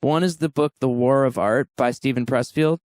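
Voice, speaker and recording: A man's voice: mid-range voice, moderate pace, a little mellow, no echo, studio quality. A man in his thirties, a musician guest talking candidly in a casual interview and laughing often. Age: 30s.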